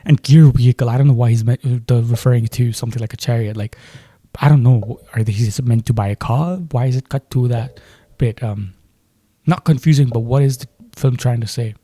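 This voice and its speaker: A man's voice: mid-range voice, fairly even intonation, a little fast, dark tone, dry recording. A man from Delhi with an Indian English accent and Hindi as his first language, thinking aloud and questioning as he reacts to a film trailer.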